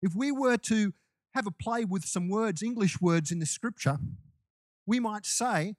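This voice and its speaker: A man's voice: high voice, unhurried, no room sound, clean studio sound. A man preaching a sermon to his congregation in a loose, conversational way, with restarts and asides. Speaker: pastor.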